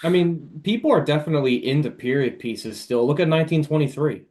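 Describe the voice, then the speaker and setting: A man's voice: on the high side, animated, brisk, a little mellow. A man chatting casually on a podcast like an enthusiastic fan, often repeating and restarting his phrases.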